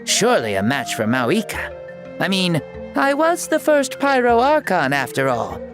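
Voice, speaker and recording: An actor voicing a lively boastful ancient warrior. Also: mid-range voice, moderate pace, music in the background. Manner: playful, teasing, grandly theatrical. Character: ancient male warrior hero, jovial and boastful. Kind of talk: game cutscene voice acting.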